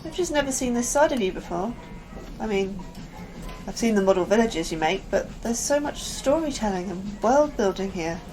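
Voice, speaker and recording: A woman's voice: mid-range voice, expressive, moderate pace, a little mellow, faint music behind, natural voice, rough recording. An actress playing an anxious, apologetic woman scientist in a sci-fi audio drama, hesitant and earnest. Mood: impressed. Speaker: actor in a sci-fi horror fiction podcast.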